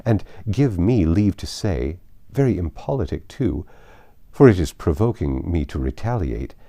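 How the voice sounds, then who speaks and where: A man voicing a witty, playful young gentlewoman in a storybook reading, with an arch, articulate manner. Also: deep voice, expressive, unhurried, gravelly, exaggerated voice. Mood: playful indignation. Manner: arch, teasing, formally articulate.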